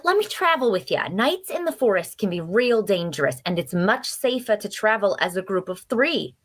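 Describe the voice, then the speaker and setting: A woman's voice: mid-range voice, very animated, moderate pace. A woman playing a chatty, nosy and cheerful traveler in a fantasy audio drama, with a teasing, folksy manner. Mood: teasing, pleading. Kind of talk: dark fantasy audio drama table read.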